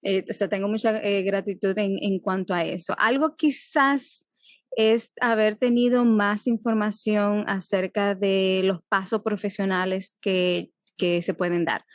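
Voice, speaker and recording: A young Dominican woman speaks reflectively in a relaxed interview, her Dominican Spanish accent plain as she restarts thoughts and drops in English phrases. Her voice sits at an ordinary middle pitch. The sound is close and dry, with no room around it. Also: a little slow, no room sound. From Dominican Republic. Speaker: guest, psychologist. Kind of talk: casual interview conversation.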